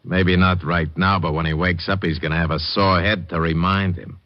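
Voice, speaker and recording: A man's voice: deep voice, fairly even intonation, moderate pace. A man playing a sadistic racketeer thug in an old radio crime drama, coolly threatening. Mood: cool menace. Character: sadistic, menacing low-voiced racketeer thug. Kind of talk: 1940s-50s radio crime acting. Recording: dull vintage radio recording.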